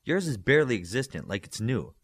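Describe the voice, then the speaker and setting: A man's voice: medium pitch, big pitch swings, brisk, breathy edge. A man voicing a teasing, knowing young telepath girl in an audiobook story. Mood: matter-of-fact. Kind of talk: audiobook character dialogue.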